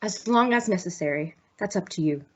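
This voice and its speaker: A woman's voice: lowish voice, lively intonation, fairly quick, husky. A woman playing a stuffy, worried male executive with a formal, hesitant manner in a radio mystery. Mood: formal, uneasy. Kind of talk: old-time radio mystery acting. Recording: dull lo-fi audio.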